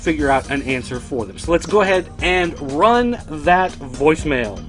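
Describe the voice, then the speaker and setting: A man's voice: a little high, expressive, normal speed, over music. A man hosting a toy collecting news show, chatting casually to viewers in a dry, sardonic way.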